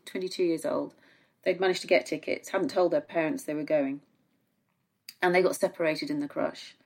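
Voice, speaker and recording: A middle-aged woman speaks in a low register. She talks conversationally as a pundit on a discussion show, often restarting phrases while she searches for the right word. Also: a little mellow. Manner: halting, searching for words, repeating herself.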